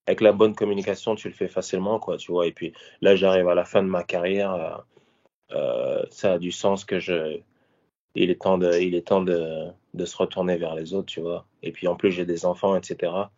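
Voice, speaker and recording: Casting: very low-voiced man in his 30s from Paris, ex-fighter turned coach, halting and casual, dull lo-fi sound. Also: fairly even intonation, a little mellow. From France, Paris. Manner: hesitant, many fillers and restarts. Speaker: former MMA fighter and coach. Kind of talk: casual interview answers. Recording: dull lo-fi audio.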